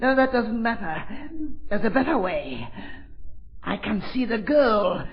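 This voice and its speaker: Old radio villain: deranged tunnel-dweller, high-pitched, slow and halting, menacing, dull vintage sound. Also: male voice, big pitch swings, gravelly, exaggerated voice. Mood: frantic, threatening. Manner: halting, repetitive, menacing, frantic when cornered. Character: crazed reclusive male villain lurking in underground tunnels. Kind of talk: 1940s radio acting. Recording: muffled vintage radio recording.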